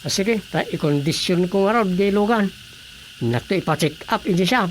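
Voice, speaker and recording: A man's voice: high voice, lively intonation, normal speed, heavy background noise. A man with a Filipino accent acting an easygoing husband in a radio drama. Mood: casual.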